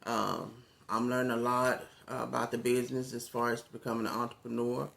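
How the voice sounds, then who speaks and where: A man with a raspy voice, a content creator talking casually into his phone, relaxed and rambling with many fillers. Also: mid-range voice, fairly quick. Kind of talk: casual talk to camera.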